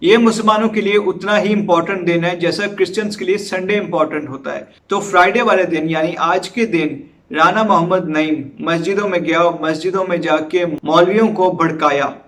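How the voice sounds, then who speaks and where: High-voiced Pakistani man, urgent commentary. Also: steady tone, brisk, hardly any pauses, a bit bright. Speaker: presenter of a news and discussion channel. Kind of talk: news commentary with direct appeals to viewers.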